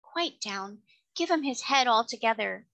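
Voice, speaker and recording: A woman voicing a kind, gentle lady who speaks politely and earnestly in a storybook reading. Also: on the high side, lively intonation, unhurried, gravelly, heavily acted. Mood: gently persuasive. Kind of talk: audiobook character reading.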